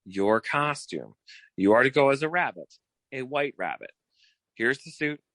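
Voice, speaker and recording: An actor playing a cocky, boastful, theatrical private detective in a 1940s style radio comedy, pitching himself in a showy, wheedling way. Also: male voice, big pitch swings, a little slow, a bit bright, no room sound. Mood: breezy, scheming. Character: cocky, theatrical fast-talking male private detective eager for partnership.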